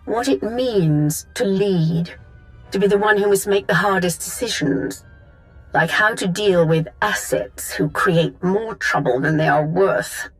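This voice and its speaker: A woman's voice: medium pitch, measured pace, faint music behind, natural voice, rough recording. Haughty female Sith councillor played by a woman. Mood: cold, resolute. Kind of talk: video game cutscene acting.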